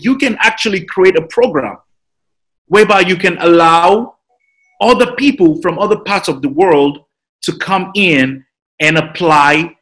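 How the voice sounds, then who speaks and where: A man's voice: high-pitched, fairly bright tone. A man with a Nigerian accent, a citizenship consultant explaining things patiently in an interview, with many fillers and repeated words. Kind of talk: interview answer.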